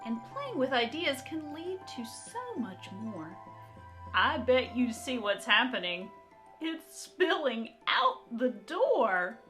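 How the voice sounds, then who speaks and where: A woman art teacher reading aloud and talking to young children in an encouraging, expressive, direct way. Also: a little high, slow pace, faint music behind. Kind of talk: children's read-aloud and talk to young kids.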